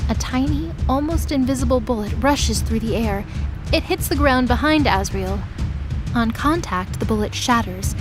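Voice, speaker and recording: A woman reading scripted dramatic narration for a mythology audio drama. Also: fairly high pitch. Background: music behind the voice.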